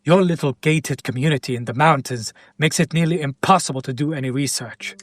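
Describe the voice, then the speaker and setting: A man playing a sardonic, teasing demon in an intimate roleplay audio drama, speaking deliberately and directly to the listener. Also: fairly high pitch, no echo, natural voice. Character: male demon buyer, sardonic, teasing, curious about his captive.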